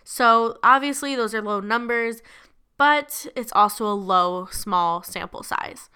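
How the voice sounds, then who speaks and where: A woman's voice: fairly high pitch, takes it slowly, pushed and bright, smooth. A young woman hosting a casual sports podcast, chatty with many fillers, still getting over bronchitis. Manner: chatty, lots of fillers, laughs easily. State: recovering from bronchitis, lingering cough. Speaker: podcast host.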